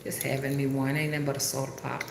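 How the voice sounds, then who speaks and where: A woman's voice: rumbling low, little pitch movement, quick pace, vocal fry, echoey room, background noise. A middle-aged woman from Alabama with a Southern accent, a rootworker chatting with viewers in a folksy, country way on a live stream. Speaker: rootworker and spiritual reader. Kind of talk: casual live-stream chat.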